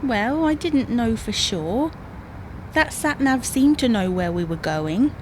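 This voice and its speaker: A woman playing a brisk, sarcastic, bossy but caring wife in an audio comedy drama, with a dry, chiding manner. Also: fairly high pitch, lively intonation, a little mellow, a little hiss or hum, low-quality recording. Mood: exasperated, dry.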